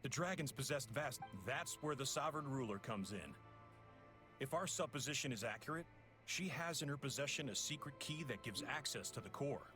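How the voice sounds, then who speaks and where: An actor as composed villain leader, mid-pitched man. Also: expressive, moderate pace, music in the background, close to an everyday voice. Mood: cool, calculating. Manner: composed, smug, precise, condescending. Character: cool, scheming male villain leader explaining his plan. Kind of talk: video game voice acting.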